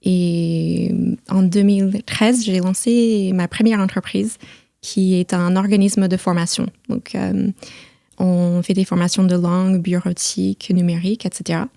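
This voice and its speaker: A middle-aged woman from the United States, speaking with an American accent in a casual podcast conversation, often pausing and repeating words as she goes. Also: on the low side, little pitch movement, gravelly, dark tone, no echo, clean studio sound. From United States (Missouri). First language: English. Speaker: podcast host.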